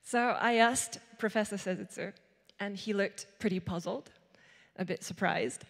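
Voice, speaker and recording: Young woman artist on a handheld mic, middle pitch, chatty and full of likes, big hall with long echo. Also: unhurried, vocal fry. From Japan. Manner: chatty, lots of likes and restarts. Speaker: artist giving a conference talk. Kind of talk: conference talk. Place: large hall with seated audience. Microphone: handheld microphone.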